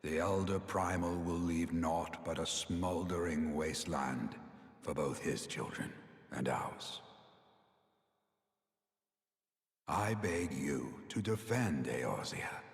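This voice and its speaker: An actor playing a grave, weary elder sage in a fantasy game, speaking in a stately, deliberate, theatrical way. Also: male voice, sits low. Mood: urgent, pleading. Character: grave, weary elder male sage recounting his downfall. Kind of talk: solemn fantasy game cutscene acting. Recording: long cavernous reverb.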